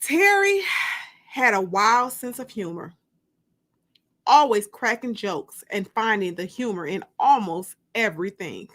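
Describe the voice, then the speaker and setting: A woman hosting a livestream as an author, chatting and reading dramatically from a book with drawn-out, emphatic delivery. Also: medium pitch, sing-song, fairly bright tone. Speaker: host and author.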